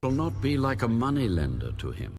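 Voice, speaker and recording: A man's voice: medium pitch, wildly swooping pitch, brisk, a little mellow, slight background noise. A man narrating scripture in a solemn, dramatic reading style. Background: background music.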